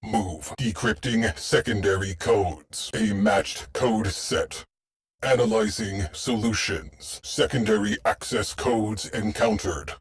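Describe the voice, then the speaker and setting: A man voicing a terse, dry shipboard AI tactician in a video game, speaking in clipped, deadpan military phrases. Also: lowish voice, takes it slowly, husky, heavily acted. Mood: terse, businesslike. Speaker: mission control voice. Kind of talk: scripted game voice lines.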